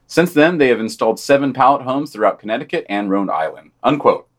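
A man's voice: mid-range voice, animated, fairly quick. A man co-hosting a podcast, reading scripted news commentary aloud in a wry, sardonic manner. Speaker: podcast co-host.

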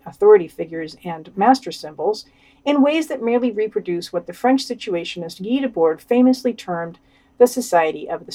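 A woman's voice: mid-range voice, a little mellow, rough recording. A woman reading written summaries and quotes aloud on a podcast, adding wry commentary. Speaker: podcast news reader.

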